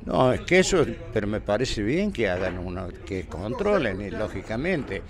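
A retired man in his sixties with an Argentine accent, answering a street interview with halting restarts and fillers. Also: on the low side, very animated, crowd noise. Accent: Córdoba Argentine Spanish. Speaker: retired provincial employee. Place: city street outdoors.

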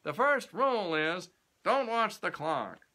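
A boastful old company president thunders out his secrets of success, put on by a man reading a story. The voice is high, bright and forceful, swinging widely in pitch. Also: very animated, slow pace, full character voice. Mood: pompous. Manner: booming, self-important lecturing.

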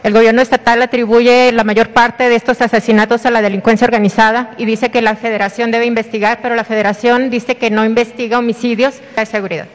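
A woman's voice: a little high, flat delivery, fast, few pauses. A woman asks a reporter's question at a press conference, on a handheld microphone. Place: large indoor hall among seated press. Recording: echoey hall microphone.

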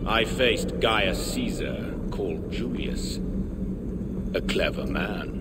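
An actor playing the proud ghost of an ancient British warlord in a video game, with a solemn, declamatory delivery. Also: male voice, mid-range voice, heavy background noise. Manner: stately, declamatory, drawn-out delivery.

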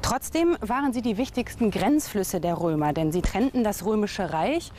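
A woman's voice: mid-range voice, lively intonation, moderate pace, mellow, a little hiss or hum. A woman reading scripted documentary narration. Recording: music under the voice. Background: background music.

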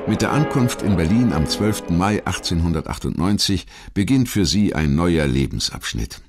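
A man reading scripted biographical narration as a documentary narrator, in a measured, articulate manner. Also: low-pitched, expressive, loud music behind the voice.

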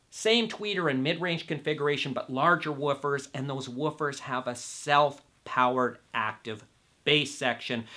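A man in his fifties presents a product review straight to camera. His voice is bright and forceful, at a middling pitch. He stresses key words firmly and leaves deliberate pauses between phrases. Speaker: host and audio reviewer. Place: indoor room set with records on the wall.

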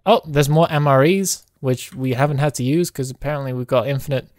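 A man's voice: medium pitch, fairly even intonation, fairly quick, darkish tone, dry recording, studio quality. A man giving casual gaming commentary to a co-player, often chuckling as he talks. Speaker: video game player. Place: indoor voice-over close on mic during gameplay.

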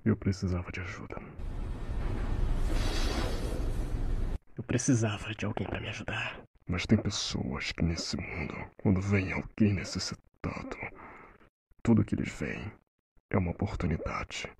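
A young Brazilian man dubbing a defensive, anguished young man under interrogation in a TV drama, breathy and halting in his delivery. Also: sits low, noisy background. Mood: pained, hushed. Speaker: fandub voice actor.

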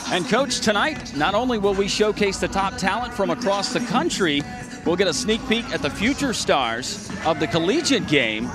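A man's voice: high-pitched, big pitch swings, normal speed. A man calling a volleyball broadcast in a polished, upbeat announcer manner, speaking into a handheld mic courtside in an indoor arena. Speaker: play-by-play announcer. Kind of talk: sports broadcast commentary. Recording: arena broadcast with music bed. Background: music.

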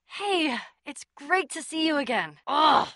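A woman's voice: sits high, animated, slow, pushed and bright, no echo, clean studio sound, heavily acted. An actress playing a bold, sardonic young woman adventurer in a video game, with a wry, punchy, casual delivery. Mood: wry, friendly.

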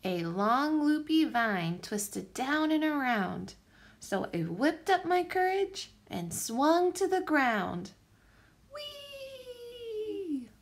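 Female storyteller for young kids: high, slow and very expressive picture-book read-aloud. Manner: animated, deliberate phrasing for young children.

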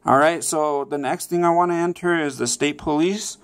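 Man, slightly high pitch, smooth clear voice, casual tutorial presenter, step-by-step, frequent check-ins. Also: moderate pace. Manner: repeatedly checks in with "okay" and "all right". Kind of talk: casual step-by-step how-to instruction.

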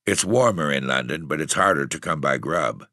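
A man voicing a frail, husky eighteen-year-old runaway tramp boy with a cocky, bitter, streetwise way of talking. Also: rumbling low, animated, moderate pace, sharp tone, no room sound, studio quality, full character voice. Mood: defiant, streetwise. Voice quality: husky, hoarse.

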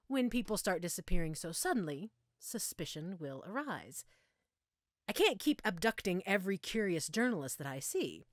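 A woman's voice: on the low side, fairly bright tone, no echo, studio quality. A woman playing a menacing, gloating female mad scientist in an audio roleplay, with a theatrical, taunting manner. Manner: mocking, theatrical, taunting endearments. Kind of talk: audio roleplay acting addressed to listener.

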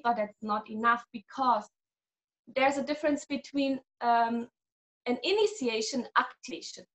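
A woman's voice: sits high, a bit bright, low-quality recording. A woman in her thirties from Germany, a guest healer talking animatedly over a video call and laughing often. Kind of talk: casual video-call interview. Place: small room at home.

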